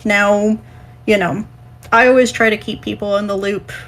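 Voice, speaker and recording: A woman's voice: mid-range voice, fairly even intonation, normal speed. A woman on a panel casually explaining how she works, matter-of-fact and organized, with little check-ins to her listeners. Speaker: podcast panelist, commission writer.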